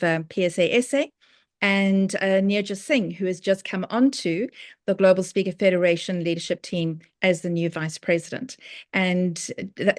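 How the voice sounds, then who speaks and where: South African woman giving a relaxed talk. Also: medium pitch, dry recording, studio quality. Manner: conversational, with fillers and restarts. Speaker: professional speaker presenting. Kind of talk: online presentation to a speaker chapter.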